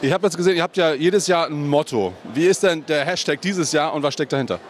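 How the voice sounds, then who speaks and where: A man's voice: on the high side, quick pace, a little hiss or hum. Chatty male event reporter with handheld mic. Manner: chatty, leading questions with frequent fillers. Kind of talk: on-site event interviews and wrap-up to camera. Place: large indoor convention hall. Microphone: handheld microphone.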